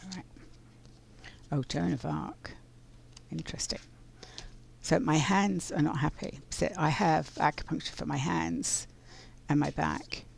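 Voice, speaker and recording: A woman with a scratchy voice from pollen, doing a casual oracle card reading in an unhurried, reflective way. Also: deep voice, fairly even intonation, gravelly. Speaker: spiritual card reader.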